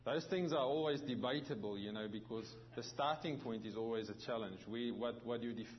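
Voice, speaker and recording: South African pastor with halting delivery. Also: male voice, mid-range voice, dull audio. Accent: South African with some New Zealand. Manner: halting, many restarts and fillers. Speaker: visiting pastor. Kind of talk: sermon.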